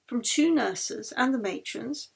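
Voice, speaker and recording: A woman narrates literary fiction in a fairly high voice with a slightly husky, breathy edge. She reads from a script as an expressive storyteller, shaping the prose like an audiobook. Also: slow. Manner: expressive storytelling, acts out the dialogue. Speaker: author reading own novel aloud.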